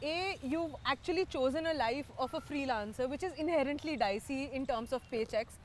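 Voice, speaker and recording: A woman hosting an interview show, asking questions in polished, measured, formal phrasing. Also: high voice, slight background noise.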